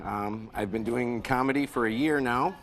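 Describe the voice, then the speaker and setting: A man's voice: mid-range voice, moderate pace, faint music behind. A man, an amateur comedian, speaking plainly and matter-of-factly in an interview. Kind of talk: casual interview.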